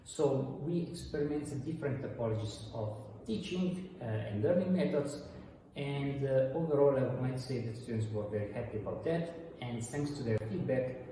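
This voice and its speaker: A man's voice: mid-range voice, normal speed, dark tone, a little hiss or hum. A man from Italy with an Italian accent, a university dean answering interview questions with many hesitations and restarts. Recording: echoey hall, voice from far off.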